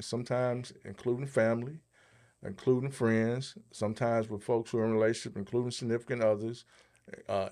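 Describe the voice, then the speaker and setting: A man's voice: mid-range voice, darkish tone. A man co-hosting a podcast, giving a motivational talk and repeating key phrases for emphasis. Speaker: podcast host, motivational speaker.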